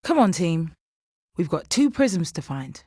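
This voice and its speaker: A woman playing a calm, commanding female gem team leader in a cartoon game, composed and measured. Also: lowish voice, breathy edge, no room sound, studio quality, heavily acted. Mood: resolute. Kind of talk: animated game cut-scene acting.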